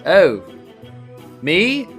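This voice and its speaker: Kiwi man, player, slightly high voice, hesitant and fumbling. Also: huge pitch swings, very slow, a bit bright, soft background music. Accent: New Zealand. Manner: hesitant, fumbling, throat-clearing.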